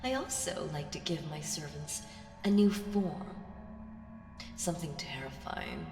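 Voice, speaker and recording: Woman acting ancient primordial she-demon, audio roleplay, very slow deliberate delivery, taunting, menacing, whispery touches, heavily echoing over music. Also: deep voice. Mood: menacing, gloating. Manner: slow, deliberate, teasing, lapsing into breathy whispers. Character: ancient female primordial evil, seductive, taunting, patient and menacing. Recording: very echoey, long reverb, music behind.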